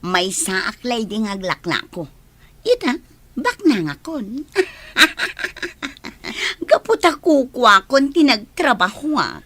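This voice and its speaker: Giddy, boastful newly rich woman, slow drawl, lively pitch. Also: dry recording, full character voice. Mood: gloating. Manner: laughing, boastful. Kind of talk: radio drama acting.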